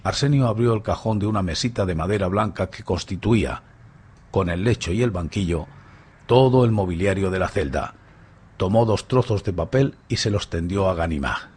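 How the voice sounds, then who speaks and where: Male narrator, low pitch, husky tone, scripted dramatised storytelling. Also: normal speed, a little mellow.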